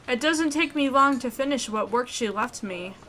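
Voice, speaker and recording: A woman hosting a let's play, reading a visual novel aloud in an expressive way. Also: high voice, moderate pace, background noise.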